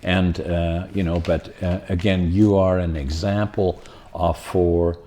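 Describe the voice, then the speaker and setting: A man's voice: deep voice, flat delivery, slow, warm tone. An older man from the Netherlands with a Dutch accent, hosting a podcast in a rambling, casual talk full of fillers and restarts. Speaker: podcast host.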